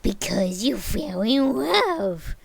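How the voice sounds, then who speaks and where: A woman's voice: a little high, big pitch swings, slow pace, creaky, breathy edge, heavily acted. A woman reading aloud and voicing a miserly, grumpy old man who growls his curt replies. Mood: gruff.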